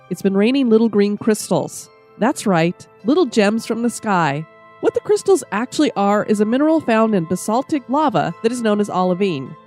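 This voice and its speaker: A woman's voice: medium pitch, a little mellow. Stuffy-voiced woman hosting a podcast. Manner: upbeat, reads from a script. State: stuffy with a summer cold. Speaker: podcast host. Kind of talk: scripted podcast narration.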